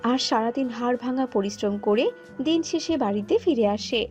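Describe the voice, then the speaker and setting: A woman's voice: on the high side, normal speed, darkish tone. Female storyteller for cartoon bird tales, scripted narration, faint music underneath.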